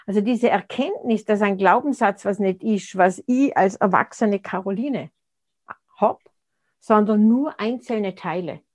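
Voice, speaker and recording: Interview guest: woman, 60s, mid-pitched, reflective, restarts sentences often. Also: a little slow. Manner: thoughtful, searching for words with frequent restarts.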